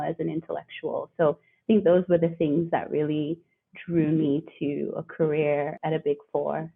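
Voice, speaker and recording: A woman's voice: low-pitched, slightly rough. A woman from the northeastern United States, a guest on an interview podcast, speaking in a chatty, reflective way. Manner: chatty, reflective, light laughs. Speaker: guest, career coach. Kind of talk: conversational interview. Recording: tinny phone-like remote line.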